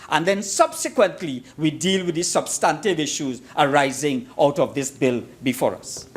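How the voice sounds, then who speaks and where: A Trinidadian man giving a formal parliamentary debate speech as an opposition member, emphatic and oratorical, repeating phrases for effect. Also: high-pitched. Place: parliament chamber.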